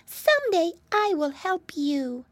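A woman voicing a little girl mouse in a children's storybook, squeaky, plaintive and earnest. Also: sing-song, slow pace, no room sound, clean studio sound, exaggerated voice. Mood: grateful, earnest.

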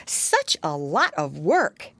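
A woman's voice: sits low, wildly swooping pitch, slow, creaky, a bit bright, dry recording, heavily acted. A woman voicing a busy, kindly mother pig who explains things patiently in a motherly way, for a storybook.

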